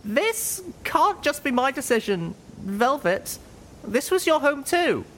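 A man voicing a young female unicorn who pleads and shouts on the edge of tears, in an audiobook. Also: very animated, slow, slight background noise, heavily acted. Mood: pleading. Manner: shrill, pleading, close to tears.

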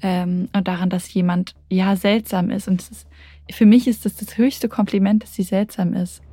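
Female author, twenties, relaxed dark tone, flat intonation, hesitant thoughtful conversation. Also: mid-range voice, moderate pace, slightly rough, no echo. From Berlin, Germany. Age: 20s. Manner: hesitant, slipping in English phrases. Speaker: interview guest, author. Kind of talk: reflective conversational interview talk.

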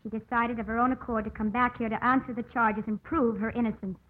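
An actress playing a cool, defiant woman attorney with an American accent and crisp, measured, formal delivery in old radio drama style. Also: a little high, little pitch movement, natural voice. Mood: composed, defiant. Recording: old, narrow tinny radio broadcast.

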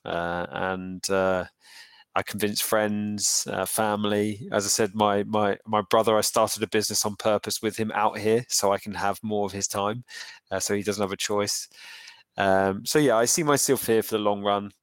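Guy being interviewed, lowish smooth voice, lots of ums, dry close mic. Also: flat delivery, moderate pace, no room sound. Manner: thoughtful, hesitant with many fillers and restarts. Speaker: entrepreneur guest. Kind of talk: interview answers.

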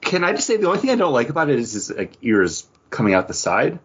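A man hosting a podcast in a casual chat, rambling and halting, with "like" dropped in often. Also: medium pitch, very animated, a bit bright, husky. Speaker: podcast host.

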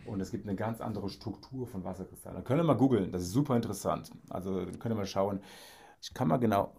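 An upbeat man chats as a podcast guest, laughing often and keeping coming back to the same eager phrases. His voice sits fairly low and sounds warm and dark. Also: fast, gravelly, a little hiss or hum. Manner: enthusiastic, laughs often, repeats "auf jeden Fall". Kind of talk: casual chat.

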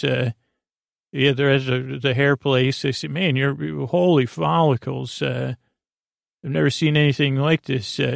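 A man hosting a bedtime podcast in a creaky voice, meandering and goofy, with hesitant restarts and tangled tangents. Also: medium pitch, no echo, studio quality. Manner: goofy, silly. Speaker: podcast host. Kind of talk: rambling bedtime story podcast monologue.

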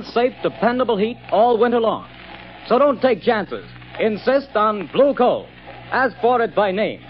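A man announcing in emphatic, formal 1930s radio style, delivering a scripted sponsor pitch. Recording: old radio, muffled, music behind.

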